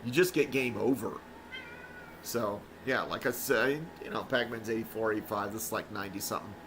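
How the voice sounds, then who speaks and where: A man's voice: lowish voice, fairly even intonation, fairly quick, noisy background. A man streaming a video game, chatting casually with lots of fillers and restarts. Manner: rambling, many fillers and restarts. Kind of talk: casual let's-play commentary.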